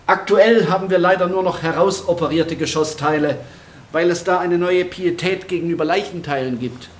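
Guy acting a higher voiced male lab expert, dry and explanatory, radio drama, echoey room. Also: normal speed, natural voice. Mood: proud, detached. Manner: pedantic, explanatory, detached. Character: matter-of-fact male forensic lab expert, proud of his specimens. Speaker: supporting actor. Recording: roomy echo, faint background noise.

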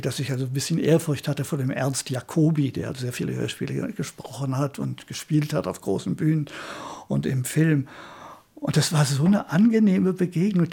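An older man with a gravelly, husky voice reminiscing enthusiastically in a casual interview. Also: fairly high pitch, mellow. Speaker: retired radio drama director and editor.

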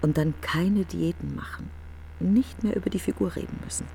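An actress playing a grieving, bitter middle-aged woman in a radio monologue, breathy and often near a whisper, in fragmented, deliberate phrases. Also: lowish voice, warm tone, slight background noise. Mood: bitter, ironic. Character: grieving, bitter, reflective woman alone after a friend's funeral. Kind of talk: literary radio play monologue.

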